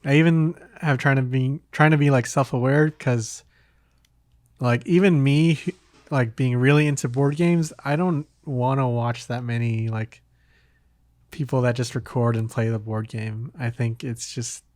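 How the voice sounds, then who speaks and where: A man's voice: medium pitch, steady tone, measured pace, a little mellow, no echo. Casual male podcast host, dry close sound.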